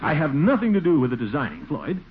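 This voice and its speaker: An actor playing a pompous, blustery, easily flustered middle-aged water commissioner in a 1940s radio comedy, on a noisy, muffled recording. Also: male voice, on the high side, wildly swooping pitch, fast. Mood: flustered, defensive. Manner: blustery, self-important, chuckling.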